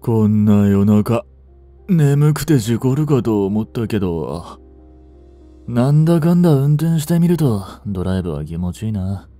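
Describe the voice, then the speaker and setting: Audio drama role: languid young tough guy voiced by a man, low-pitched with swooping intonation, gruff, teasing childhood friend. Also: animated, a little slow, mellow, soft background music. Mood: casual, teasing. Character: languid, blunt young male delinquent, protective childhood friend. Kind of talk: intimate Japanese audio drama acting.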